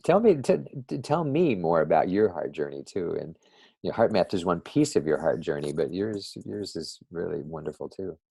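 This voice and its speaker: A man speaks as the guest in a casual interview, gentle and a little hesitant. His voice is very deep and gravelly, with a dark, husky tone. The sound is dry and close. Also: sing-song, moderate pace, no room sound. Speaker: guest, former institute CEO.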